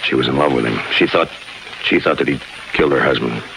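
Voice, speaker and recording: An actor playing a terse, unsentimental American police lieutenant in a clipped, deadpan 1950s radio crime drama style. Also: male voice, extremely low pitch, fast talker, creaky. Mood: accusing, cool. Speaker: lead actor and narrator. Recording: noisy 1950s radio broadcast recording.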